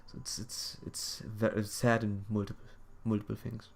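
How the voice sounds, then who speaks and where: Low-voiced young man rambling while gaming. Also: flat delivery, gravelly. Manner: rambling, halting, repeats phrases. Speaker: game livestreamer. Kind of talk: casual chat while gaming.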